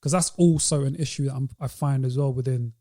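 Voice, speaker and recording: A man's voice: medium pitch, fairly even intonation, brisk, dark tone. A British man talking casually as a podcast host in a group discussion.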